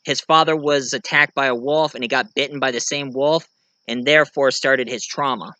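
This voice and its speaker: Bright-voiced man in a casual vlog. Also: medium pitch, fairly even intonation, normal speed, dry recording. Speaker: video creator and amateur writer.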